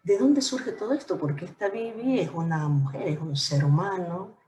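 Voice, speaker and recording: An interviewer with a fairly low, mellow voice. She asks her questions with curiosity and encouragement, and the sound comes from a room that echoes. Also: moderate pace, slight background noise. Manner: inquisitive, encouraging.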